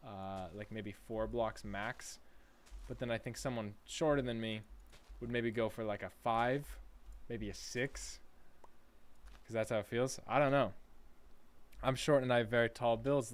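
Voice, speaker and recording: A man's voice: mid-range voice, steady tone, unhurried, pauses often. Chatty guy streaming games. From United States. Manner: chatty and playful, reading chat aloud and laughing often. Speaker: livestreamer. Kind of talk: casual gaming livestream chat.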